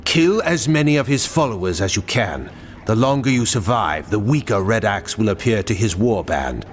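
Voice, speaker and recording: A man playing a serious advisor in a dark fantasy video game, earnest and dramatic. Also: fairly low pitch, lively intonation, moderate pace, soft background music, lightly acted. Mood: firm counsel.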